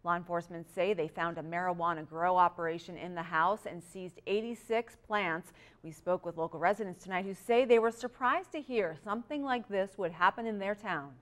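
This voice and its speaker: A woman's voice: lowish voice, brisk, a bit bright. A woman with an American accent reading scripted local news stories as an anchor.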